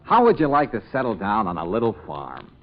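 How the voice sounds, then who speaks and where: Bossy, excitable schemer, swooping pitch. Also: male voice, brisk, gravelly, full character voice. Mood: persuasive. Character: brisk, scheming, bossy matchmaker boss, an adult man. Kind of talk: old-time radio comedy acting. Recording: muffled old radio recording.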